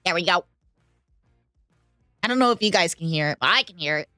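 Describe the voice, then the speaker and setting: Bubbly, hoarse woman streamer. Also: lowish voice, animated, fairly quick, sharp tone, no echo, studio quality, faint music behind. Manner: bubbly, talks to chat, hums tunes. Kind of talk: casual livestream reaction chat.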